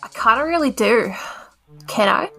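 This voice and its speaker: A female game player speaks casually. Her voice is fairly high with a noticeable creak. Faint music sits behind her. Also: animated, normal speed, a bit bright.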